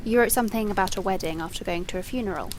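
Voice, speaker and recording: A woman's voice: medium pitch, rapid-fire, background noise, lightly acted. An actress playing a mysterious, impatient visitor in an audio drama, blunt and insistent as she demands answers. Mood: probing. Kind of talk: dramatic audio fiction dialogue.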